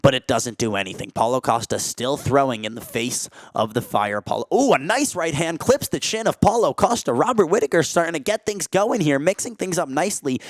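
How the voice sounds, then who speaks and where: A young man calling a martial arts fight play-by-play, filling gaps with "uh" and jumping up in pitch and volume when big strikes land. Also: no room sound. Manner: frequent "uh" fillers. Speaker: play-by-play commentator.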